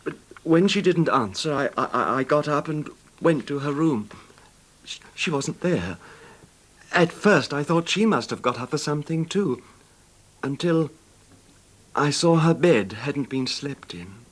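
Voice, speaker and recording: An actor playing an older wealthy English husband, haltingly recounting his betrayal in an anguished, formal manner in a radio drama. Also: a little high, lively intonation. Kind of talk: 1970s radio drama acting, narrating a confession. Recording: noisy 1970s radio drama recording.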